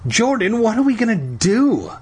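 Male reader as distraught young girl, storybook sister, raised husky voice, tearful and wailing. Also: high voice, very animated, normal speed, pitched above the performer's own voice, exaggerated voice. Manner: wailing, trembling delivery.

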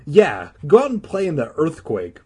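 A man's voice: medium pitch, very animated, unhurried, a little mellow, husky, exaggerated voice. A man voicing a bossy, scornful schoolgirl from a storybook, snappish and whining. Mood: snapping. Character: bossy, scornful schoolgirl, dismissive sister with dramatic opinions.

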